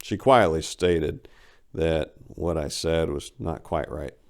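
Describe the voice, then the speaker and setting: A man's voice: rumbling low, takes it slowly, creaky, darkish tone. A man giving a dramatic reading of a first-person short story, with a theatrical, exasperated delivery. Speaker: story reader.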